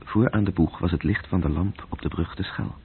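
A man narrating a literary radio drama in terse, measured phrases, with a gravelly, breathy voice. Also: low-pitched. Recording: muffled, tinny old radio-play recording.

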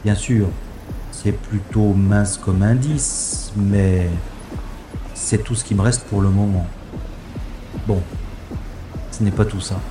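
An actor playing a wry, stubborn special agent who narrates his own science-fiction audio drama in a sardonic, deliberate way. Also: lowish voice, steady tone, over music. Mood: rueful, wry.

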